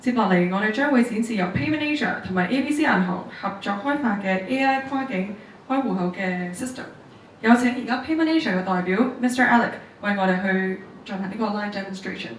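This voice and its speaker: A woman's voice: medium pitch, brisk. A woman formally hosting an event as emcee. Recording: echoey event hall, distant, faint noise.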